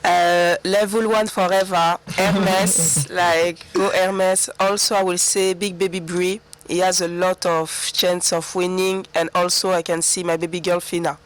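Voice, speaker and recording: A woman's voice: on the low side, little pitch movement, measured pace, few pauses, poor audio. Sweet-voiced woman guest. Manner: soft-spoken, earnest, sweet voice. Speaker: reality show ex-contestant guest. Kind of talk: casual radio interview. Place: radio studio.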